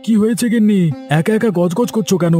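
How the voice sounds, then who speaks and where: A man's voice: sits high, fast talker, mellow, close to an everyday voice. A man playing a mild, placating husband in a Bengali moral-story cartoon. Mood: puzzled, curious. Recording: dry, with faint music behind.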